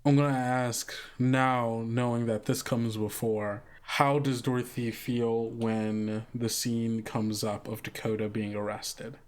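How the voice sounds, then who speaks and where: A man's voice: mid-range voice, flat delivery, takes it slowly, hardly any pauses. Casual man steering a tabletop roleplay. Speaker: player leading scenes on an actual play podcast.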